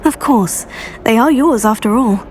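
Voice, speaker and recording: A woman playing a calm, helpful teenage witch heroine in a video game, measured and kindly, with a British accent. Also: on the high side, lightly acted. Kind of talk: video game dialogue acting. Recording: faint background ambient noise.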